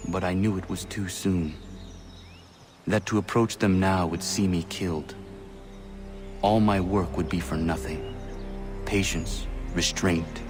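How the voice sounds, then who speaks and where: Casting: determined young game hero, lowish voice, terse and measured, music behind. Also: male voice, fairly even intonation. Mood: solemn, restrained. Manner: plain, formal, measured phrasing. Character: earnest, terse young man, determined and restrained. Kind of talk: video game acting and scripted narration.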